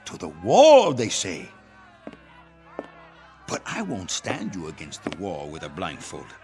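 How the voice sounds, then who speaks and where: Rolling through long hall reverb over music, a gloating, vengeful rebel leader in a radio play. He is cruel and proud, taking his time over each threat, with theatrical swings from low menace to high outrage. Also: wildly swooping pitch, slow pace, a little mellow, breathy edge, heavily acted. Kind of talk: old-time radio drama acting. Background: dramatic music.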